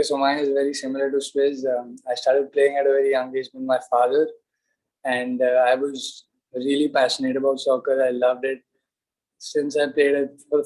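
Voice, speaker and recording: Young male student athlete from India, average pitch, casual delivery on a panel. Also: darkish tone, rough recording. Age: young adult.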